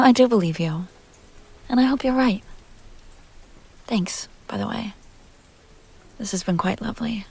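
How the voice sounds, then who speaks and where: A woman's voice: mid-range voice, darkish tone. A woman playing an ageless vampire heiress, teasing, tender and wistful, in an intimate, half-whispered ASMR roleplay. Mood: warm, teasing. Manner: breathy, often whispering, unhurried, coaxing.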